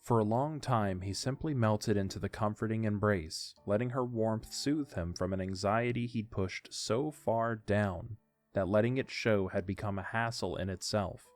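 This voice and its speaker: A man narrates a scripted story. His voice sits fairly low. Music runs behind the narration. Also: moderate pace.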